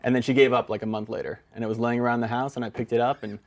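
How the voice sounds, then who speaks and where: A man's voice: medium pitch, flat delivery, rapid-fire, vocal fry. A young man with an American accent, a guest songwriter telling stories in an informal, meandering way. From Brazil-born, raised in the San Francisco Bay Area. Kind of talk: casual storytelling.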